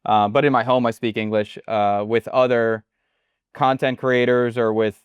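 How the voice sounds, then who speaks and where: American guy talking about his life abroad, smooth clear voice, dry close sound, kinda halting. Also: medium pitch, no echo, muffled recording. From United States. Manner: relaxed, halting, repeats words. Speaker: chef and guest. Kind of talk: casual interview chat.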